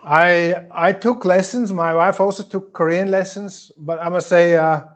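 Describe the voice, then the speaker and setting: Man answering, hesitant and slightly high. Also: fairly even intonation. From German-speaking country. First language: German. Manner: hesitant, many fillers, measured. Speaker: guest. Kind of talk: casual interview answers.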